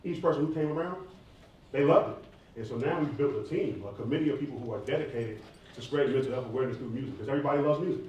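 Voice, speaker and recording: A man's voice: mid-range voice, racing, a little mellow, muffled recording. An American man from near Atlanta speaks candidly and casually in slangy phrases, answering questions at a live event. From southeastern United States, near Atlanta. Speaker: hip hop artist and former college athlete answering questions. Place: large echoey indoor room at a live event. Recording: echoey hall, distant mic, faint noise.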